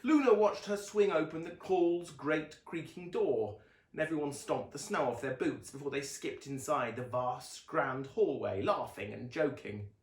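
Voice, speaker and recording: A man reading children's fiction aloud as a dramatic, expressive narrator with a deliberate delivery. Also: a little high, reverberant.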